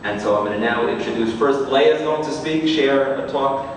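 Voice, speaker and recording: A man with a Queens, New York accent introducing speakers at a community event as a rabbi, in somewhat halting, repetitive phrasing. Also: mid-range voice. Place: large reverberant room, community event. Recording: very echoey hall, distant mic, faint noise.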